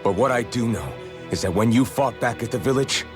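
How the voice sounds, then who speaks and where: An actor playing an earnest, resolute young rebel fighter in a fantasy anime game, speaking plainly. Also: male voice, mid-range voice, fairly quick, over music, close to an everyday voice. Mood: firm, earnest. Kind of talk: English-dubbed anime game voice acting.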